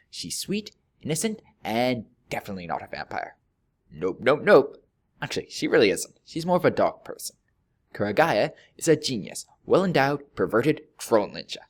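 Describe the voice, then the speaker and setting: A man narrating a scripted review in a wry, deadpan-joking manner, pausing for emphasis. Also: medium pitch. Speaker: video reviewer.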